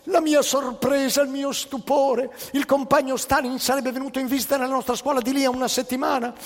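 Meek, distraught male schoolteacher acted by a man, innocent and stammering, high husky voice, long hall reverb. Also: level tone, brisk. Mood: excited, amazed. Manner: stammering, pleading, emotional.

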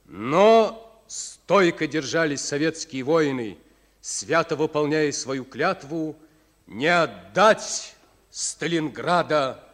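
An actor narrating a Soviet wartime radio play in a grave, deliberate, declamatory manner. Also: male voice, a little high, lively intonation. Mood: solemn. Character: solemn narrator of a wartime epic. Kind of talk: solemn dramatic scripted narration of a Soviet war radio play. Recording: old radio play, loud music behind. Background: music.

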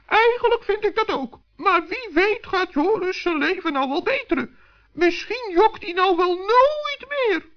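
A man's voice: very high voice, expressive, unhurried, pitched above the performer's own voice, full character voice. A man voicing a small, kindly, earnest male gnome in a children's radio play, eager and a little flustered. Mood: hopeful. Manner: good-natured, eager, slightly flustered. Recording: muffled vintage 1960s radio recording.

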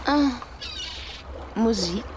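A cool, evasive lover. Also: female voice, a little high, lively intonation, extremely slow, background noise. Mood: cool dismissive. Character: cool evasive young woman, lover of the hero. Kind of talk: radio drama acting.

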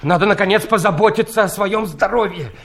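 An actor playing an insistent, hesitant prosecutor who leans on a subordinate in an audio play. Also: male voice, high voice, fairly quick, creaky, fairly bright tone. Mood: awkward, insistent. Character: district prosecutor awkwardly pushing an older subordinate to retire.

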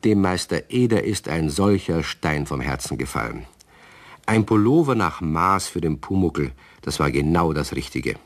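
A male narrator reads a children's radio play in a storybook style. His voice is very low and steady. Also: moderate pace.

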